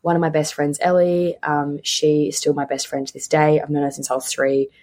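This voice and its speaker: A woman's voice: low-pitched, little pitch movement, a little fast, darkish tone. A woman in her twenties with an Australian accent, chatting casually and candidly as a solo podcast host, often repeating words for emphasis. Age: 20s.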